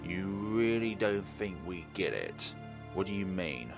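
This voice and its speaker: Low, gravelly man reading game dialogue dramatically. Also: very animated, unhurried, music in the background. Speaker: video game playthrough host. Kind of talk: dramatic read-aloud of game text with his own commentary.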